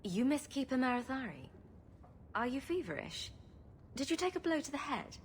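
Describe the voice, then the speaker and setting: A woman's voice: sits high, big pitch swings, normal speed. A woman playing a witty, flippant heroine in a video game, sarcastic and breezily confident. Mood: teasing. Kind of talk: video game cutscene acting. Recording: long reverb, faint background noise.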